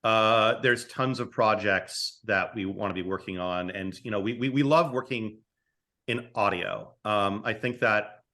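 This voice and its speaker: Man talking haltingly in a casual interview. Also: on the low side, pushed and bright. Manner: hesitant, repeats words and stammers as thoughts form. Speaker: podcast guest, screenwriter and audio drama writer.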